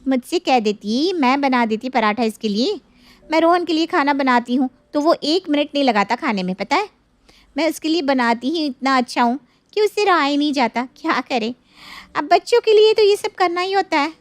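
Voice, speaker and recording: Woman playing smug elder sister, boastful mother, condescending, very high swooping voice, dry story recording. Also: animated, normal speed, no room sound.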